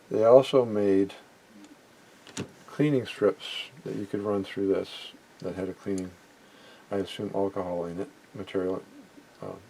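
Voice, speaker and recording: A man's voice: fairly low pitch, mellow, rough recording. A middle-aged or older man giving an unscripted hands-on demonstration, musing hesitantly and often trailing off mid-thought. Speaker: vintage electronics hobbyist demonstrating equipment. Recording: home recording with background noise.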